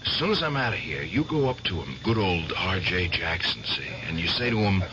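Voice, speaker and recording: This is a shady, secretive piano player, with a low, slow delivery and a bright edge. His voice rises and falls a lot. It comes through a muffled old radio recording with hiss. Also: very animated, exaggerated voice. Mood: scheming. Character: brooding male piano player quitting his job. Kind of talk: 1970s radio drama acting.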